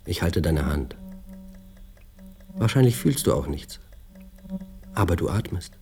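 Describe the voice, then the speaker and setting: A man acting a haunted physicist in a German radio play, speaking in an introspective, halting and at times declamatory manner. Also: deep voice, very animated, darkish tone, soft background music, exaggerated voice. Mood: tender, brooding. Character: troubled male physicist, haunted and brooding, lecturing on particles.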